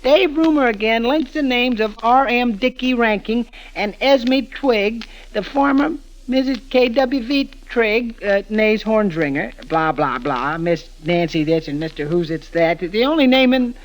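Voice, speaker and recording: An actor playing a befuddled, grumbling young husband in a 1930s radio comedy, speaking hesitantly and mockingly. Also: male voice, extremely high pitch, animated, unhurried, hardly any pauses, low-quality recording. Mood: dismissive. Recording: vintage 1930s radio broadcast.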